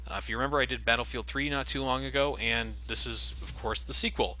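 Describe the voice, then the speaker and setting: Bright-voiced joking game commentator. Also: male voice, mid-range voice, steady tone, fast. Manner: wry, joking, critical of the game. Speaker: let's play host. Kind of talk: casual gameplay commentary.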